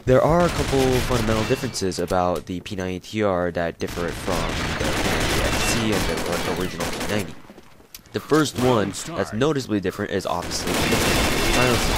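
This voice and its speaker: A man's voice: sits low, expressive, fast talker. A man with a stuffy, nasal-sounding voice, rambling casually through a game review from his room. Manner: rambling, self-correcting, informal with mild swearing. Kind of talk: casual gameplay review commentary. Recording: loud gunfire noise under voice. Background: loud game gunfire.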